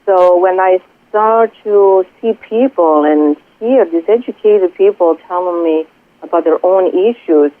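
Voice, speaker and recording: A woman from Greece with a Greek accent, a spiritual coach speaking earnestly and haltingly as a guest on a radio interview. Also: on the low side, no echo. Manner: earnest, halting, many pauses and fillers. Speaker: spiritual coach and Reiki healer. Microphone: telephone. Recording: tinny phone line, dry.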